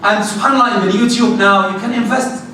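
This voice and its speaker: Middle-aged preacher with a loud, high, bright voice, lecturing youth from a distance in a very echoey hall. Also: male voice, fast talker. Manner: direct, rhetorical questions, animated. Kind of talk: religious talk to young people. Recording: very echoey hall, distant mic, faint noise.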